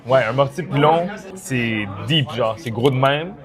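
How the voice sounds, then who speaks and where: A man's voice: mid-range voice, unhurried, crowd noise. A young man from Iran, chatting casually and mixing in English slang while tasting food. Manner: slangy, mixes English into his French. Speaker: streamer host.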